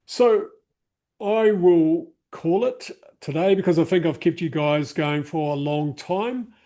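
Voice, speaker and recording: A man's voice: fairly high pitch, slow, dark tone. A man with a New Zealand accent hosting an online workshop, chatting casually with many fillers and restarts over a video call mic. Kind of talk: casual closing remarks to a live online group.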